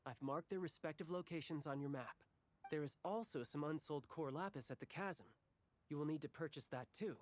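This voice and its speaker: A man's voice: on the high side, lightly acted. A man voicing a polite young nobleman. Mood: calm. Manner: courteous, measured instructions. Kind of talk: video game voice acting. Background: game music.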